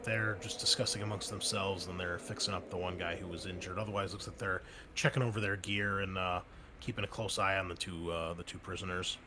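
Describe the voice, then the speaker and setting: A man running a tabletop game as game master, narrating in a casual, halting way full of fillers. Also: low voice, steady tone, noisy background.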